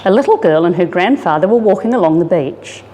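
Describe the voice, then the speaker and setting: Expressive woman storyteller in reverberant room. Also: on the low side, brisk, slight background noise. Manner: dramatic, animated storytelling. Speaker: story reader, disaster resilience officer. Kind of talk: expressive children's picture-book reading.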